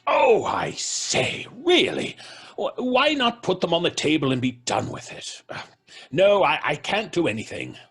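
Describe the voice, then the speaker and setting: A man playing a genial, stammering English gentleman card player in an old-fashioned radio drama, with an English accent. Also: fairly high pitch, very animated, measured pace, husky, exaggerated voice. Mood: flustered, grumbling. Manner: stammering, chuckling, old-fashioned upper-class politeness. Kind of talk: 1930s-style radio drama acting.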